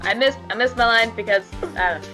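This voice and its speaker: A woman's voice: on the high side, brisk, over music, low-quality recording. A woman chatting casually as a streamer in a group game playthrough.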